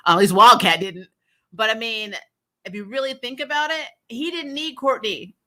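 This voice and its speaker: A woman's voice: a little high, normal speed, pushed and bright. A woman hosting a casual fan livestream, giving candid, emphatic opinions in slangy, chatty commentary. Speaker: host of a fan recap livestream.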